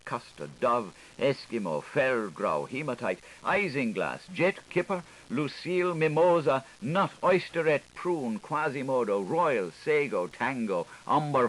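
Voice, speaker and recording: A man's voice: medium pitch, a bit bright, poor audio. Theatrical male audiobook reader, swooping intonation. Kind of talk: theatrical literary narration of experimental prose. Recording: hissy older studio recording.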